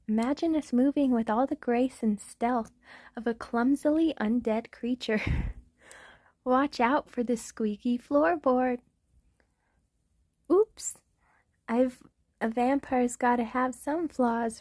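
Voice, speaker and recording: A woman's voice: a little high, mellow, dry recording, studio quality. A woman voicing a clumsy, goofy male vampire count in a comedic ASMR roleplay, giggly and theatrical. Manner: giggly, theatrical, breaks into laughter.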